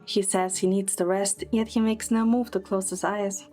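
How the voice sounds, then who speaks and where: Mid-pitched woman narrating an audio drama. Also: flat delivery, normal speed, warm tone, soft background music. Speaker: narrator and lead character. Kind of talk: scripted first-person audio drama narration and acting.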